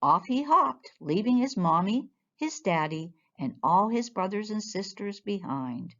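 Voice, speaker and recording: A woman reads a picture book to children with a lively, expressive swing in her medium-pitched voice. The recording is a little dull and lo-fi. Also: measured pace. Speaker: children's storyteller. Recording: dull, lo-fi sound.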